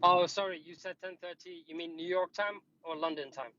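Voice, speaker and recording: A man's voice: high voice, fairly even intonation, fairly quick, crowd noise. A man calling in to a live debate, polite and hesitant, with many restarts. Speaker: caller. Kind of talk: casual call. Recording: muffled call with faint noise.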